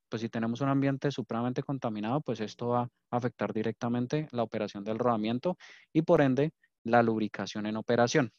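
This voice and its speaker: A man's voice: medium pitch, a little mellow, dry recording. A man with a Colombian accent from Cali presenting a technical webinar as an engineer and trainer, explaining and often checking in with short tag questions. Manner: explanatory, frequent "¿sí?" check-ins. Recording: dull lo-fi online meeting audio.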